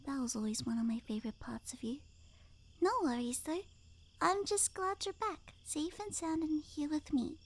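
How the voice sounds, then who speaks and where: Woman acting a high-pitched, doting yandere necromancer girlfriend. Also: darkish tone. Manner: breathy half-whispered, cooing, clingy. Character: doting, obsessive yandere girlfriend turned necromancer, sweet and unhinged. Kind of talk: ASMR roleplay, intimate soft-spoken acting with whispering.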